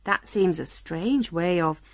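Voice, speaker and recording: A woman's voice: lowish voice, slow pace, no echo, clean studio sound. A woman playing a sensible, polite young storybook girl who questions and argues. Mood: puzzled.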